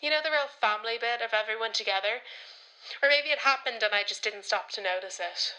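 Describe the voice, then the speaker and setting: Woman playing cheeky young irish granddaughter rambling on a voicemail, highish n really bright, theatrical. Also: a little fast, poor audio. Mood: wistful, confiding. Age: young adult. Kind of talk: radio comedy-drama voicemail monologue.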